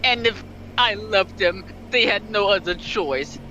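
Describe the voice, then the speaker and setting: Theatrical man with a very bright, forceful voice. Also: sits high, measured pace, muffled recording. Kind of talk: dramatic story reading with joking asides. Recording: some background noise.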